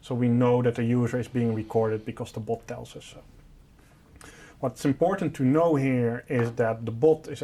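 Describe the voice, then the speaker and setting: A man's voice: medium pitch, warm tone, breathy edge. A man presenting a product, explaining a technical solution in an informal, step-by-step and simplified way.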